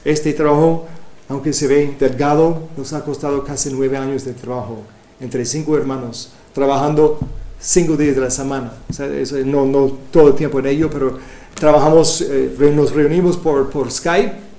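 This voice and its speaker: A man speaking Spanish as a second language, giving a halting church presentation as a Bible translation coordinator, with many restarts and fillers. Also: medium pitch, fairly even intonation, dark tone, roomy sound. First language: not Spanish.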